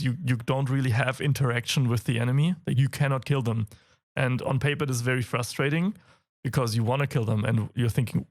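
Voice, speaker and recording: A young German man with a raspy, hoarse voice, co-hosting a casual games podcast, laughing often and getting excited. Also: mid-range voice. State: hoarse from a cold. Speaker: podcast co-host. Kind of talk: casual chat.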